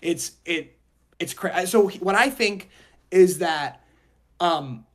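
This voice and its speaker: A man's voice: sits high, slow. A young man talking casually and emphatically on a podcast, rambling through his opinions in slangy speech. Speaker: podcast host.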